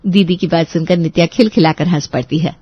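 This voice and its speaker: A woman's voice: lowish voice, steady tone, a little fast, slightly rough, no room sound, highs cut off. A woman narrating a scripted Hindi family bedtime story.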